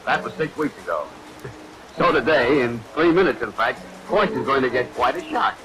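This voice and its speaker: A man playing a brisk, wisecracking fellow in a 1940s radio mystery play, on a noisy vintage recording. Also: mid-range voice, animated, over loud music, close to an everyday voice. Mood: brisk, teasing. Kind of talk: 1940s radio drama acting.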